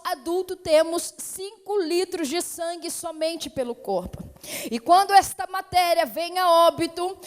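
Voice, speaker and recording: High-voiced paulista woman preaching. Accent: São Paulo (paulista). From São Paulo state, Brazil. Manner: fervent, swings from calm greeting to loud exhortation. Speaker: missionary preacher. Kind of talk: Pentecostal sermon. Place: church hall. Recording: reverberant church hall.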